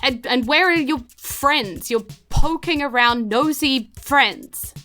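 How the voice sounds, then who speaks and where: A secretive, stocky cat-man, played by a woman in a tabletop fantasy game. He is curt and impatient, speaking with hushed urgency, with music playing underneath. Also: high voice, takes it slowly, pitched above the performer's own voice, heavily acted.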